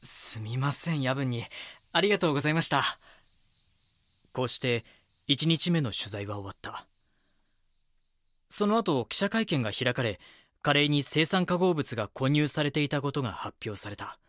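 An actor playing a young magazine reporter in an audio drama, polite, earnest and inquisitive. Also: male voice, medium pitch, lively intonation, normal speed, long pauses, fairly bright tone, no room sound, studio quality, lightly acted. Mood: narrating. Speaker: magazine reporter and first-person narrator. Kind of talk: Japanese audio drama dialogue and narration.

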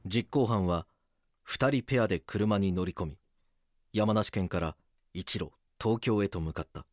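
A very low-voiced actor playing a male detective narrates a true-crime radio drama. His delivery is grave and measured, like a solemn documentary account. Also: no echo, studio quality, close to an everyday voice.

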